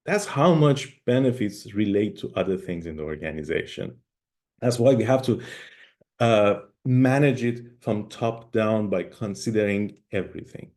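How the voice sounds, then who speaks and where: A middle-aged man with a low, warm voice gives an online conference talk. He is a native Persian speaker, and his pitch swings widely as he stresses points. He lectures with pauses and a few fillers. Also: expressive. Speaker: guest speaker.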